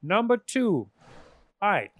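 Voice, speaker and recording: A man with a moderately high voice talks as a podcast host in casual, opinionated chat. He is emphatic and exasperated, working himself into a rant. The sound is close and dry. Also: big pitch swings, slow pace, dry recording. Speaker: podcast host giving a ranked list.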